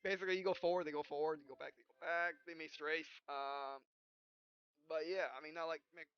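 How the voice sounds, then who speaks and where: A woman hosting a let's play, reading game dialogue aloud and commenting in a halting way, often repeating words. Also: low-pitched, fairly even intonation, clear, resonant tone, muffled recording. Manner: halting, repeats words, reads punctuation and speaker names aloud. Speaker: gaming video host. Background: game music.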